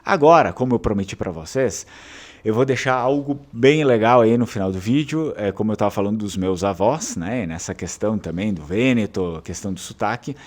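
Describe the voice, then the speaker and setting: A man's voice: fairly low pitch, brisk, darkish tone. A man from southern Brazil with a southern Brazilian Portuguese accent, hosting his channel in a casual, rambling talk full of fillers and restarts. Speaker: channel host.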